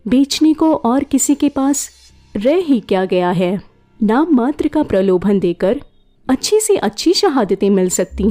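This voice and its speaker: A woman narrating a classic short story in a measured, dramatic storytelling manner. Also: fairly high pitch, dark tone. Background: faint background music.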